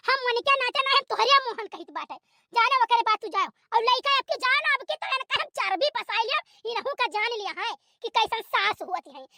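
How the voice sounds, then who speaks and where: Woman doing shrill scolding old mother-in-law. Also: pushed and bright, no room sound, exaggerated voice. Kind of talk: cartoon voice acting.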